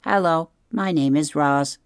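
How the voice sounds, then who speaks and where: A reader voicing a polite, literal-minded female robot in a storybook, measured, earnest and formal. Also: mid-range voice, clean tone, no room sound, clean studio sound, pitched above the performer's own voice, natural voice. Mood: calm, polite.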